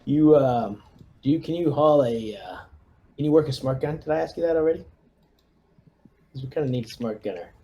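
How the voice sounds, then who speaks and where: A man playing a tabletop game, chatting casually with halting, informal questions. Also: medium pitch, warm tone, muffled recording, slight background noise.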